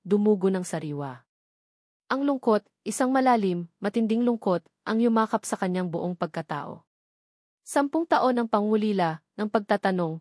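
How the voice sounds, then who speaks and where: A woman's voice: medium pitch, a little mellow, no echo, studio quality. A woman reading a scripted, dramatic romance story as narrator.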